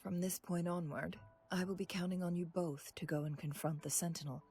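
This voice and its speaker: Low female voice, elegant confident game strategist acted by a woman, measured, formal, courteous, music behind. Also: level tone, a little mellow, close to an everyday voice. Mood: calm, measured. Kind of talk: video game voice acting.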